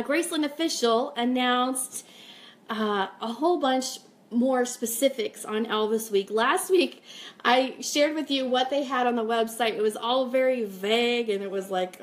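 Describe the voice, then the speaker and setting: A woman hosting a casual live stream, chatting informally and upbeat as she reads out comments and announcements. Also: sits high. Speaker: host of a fan radio live stream.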